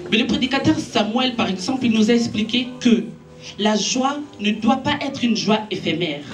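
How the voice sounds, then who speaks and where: A woman's voice: on the high side, animated, normal speed, recorded from a distance, soft background music. A woman preaching a sermon to her church, an Italian native speaker, earnest and exhorting, lifting her voice when she preaches. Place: church gathering in a makeshift space.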